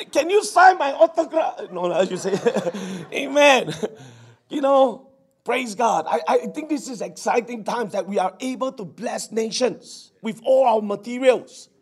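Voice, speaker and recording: A middle-aged man with a Singaporean English accent, preaching and praying fervently, his voice hoarse from preaching. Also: extremely high pitch, lively intonation, slow. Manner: fervent, repetitive, peppered with "Amen". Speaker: pastor. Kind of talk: sermon with prayer. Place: church service.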